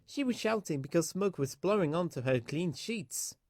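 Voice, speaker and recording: Man, slightly high voice, lively intonation, children's story read-aloud, dry close recording. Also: moderate pace, no room sound.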